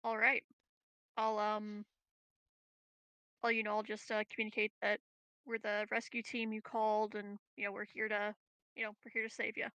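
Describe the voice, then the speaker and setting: Female game player, forceful bright tone, gravelly fry, flat intonation, casual talk. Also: mid-range voice, moderate pace, long pauses, no echo, highs cut off. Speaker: player in a tabletop roleplaying game.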